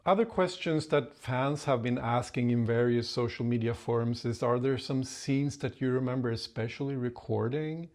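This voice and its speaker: Swedish host, quick reactions. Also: male voice, medium pitch, darkish tone. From Sweden. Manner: encouraging, frequent short reactions like "wow". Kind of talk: casual interview.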